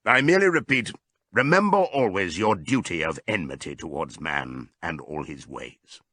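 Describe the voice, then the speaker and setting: A man voicing an old male pig in an audiobook, giving a solemn, deliberate, rousing speech to his comrades. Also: low-pitched, expressive, sharp tone, no room sound, clean studio sound, pitched below the performer's own voice, heavily acted. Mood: solemn, commanding. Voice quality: hoarse as scripted old voice. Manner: oratorical, deliberate.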